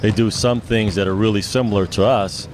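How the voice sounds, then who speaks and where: A man's voice: on the low side, steady tone, a little fast, background noise. A man, a basketball head coach, giving casual answers in a sports interview.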